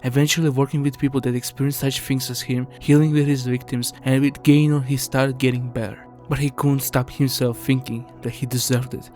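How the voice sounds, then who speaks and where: A man's voice: medium pitch, level tone, a little fast, few pauses, darkish tone. A man reading scripted video essay narration in an earnest, somber, explanatory way. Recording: music under the voice.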